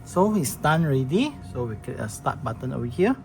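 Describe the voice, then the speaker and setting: A man's voice: mid-range voice, very animated, fairly quick, soft background music. A man walking viewers through a product setup, talking plainly with many restarts and fillers. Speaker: product demonstrator. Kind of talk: casual product demo walkthrough. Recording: noisy room recording.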